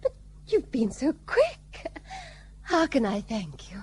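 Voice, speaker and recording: Actress as a coy, high-voiced colonial lady. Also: wildly swooping pitch, slow, a little mellow, a little hiss or hum, full character voice. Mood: flirtatious. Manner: genteel, wheedling, coquettish. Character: flirtatious, coy colonial British lady, falsely helpless. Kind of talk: period radio play acting.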